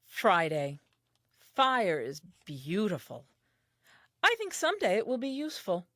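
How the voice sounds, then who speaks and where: Dreamy, expressive first woman played by an actress. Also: mid-range voice, unhurried, no echo, clean studio sound. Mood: dreamy delight. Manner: earnest, expressive, theatrical. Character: dreamy, curious, wonder-filled first woman Eve. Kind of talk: comic diary monologue in an audio play.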